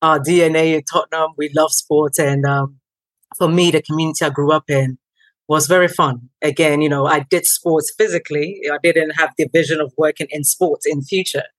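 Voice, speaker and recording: A woman in her thirties, originally from Ghana and not a native English speaker, a guest telling her story in a lively way with many ums. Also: sits low, normal speed, no room sound, poor audio. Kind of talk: conversational interview.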